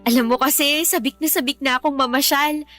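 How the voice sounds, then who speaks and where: A woman playing a weary, sulky pregnant wife and mother in a radio drama, with a plaintive, expressive delivery. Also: sits high, a little fast, faint music behind. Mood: hopeful, appeased. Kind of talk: radio drama acting in dialogue.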